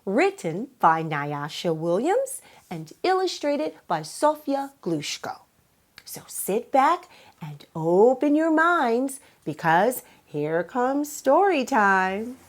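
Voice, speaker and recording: Slow-paced, high-voiced woman hosting children's storytime. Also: big pitch swings. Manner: teacherly, asks and answers own questions. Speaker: children's read-aloud host. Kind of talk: animated children's storytime presenting.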